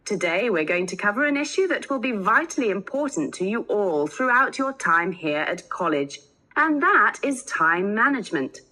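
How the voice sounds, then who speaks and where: A woman's voice: mid-range voice, very animated, moderate pace, bright, forceful tone, rough recording. A woman playing an organised college lecturer welcoming students in a scripted, instructive talk. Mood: businesslike, welcoming.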